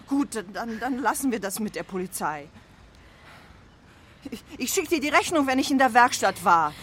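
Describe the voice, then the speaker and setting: An actress playing a brusque, bossy motorist in a radio drama, speaking in an assertive, insistent way. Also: female voice, a little high, sing-song, normal speed, a bit bright, a little hiss or hum. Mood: brusque, concerned. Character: brusque, bossy female motorist with a concerned streak. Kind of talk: naturalistic radio drama dialogue.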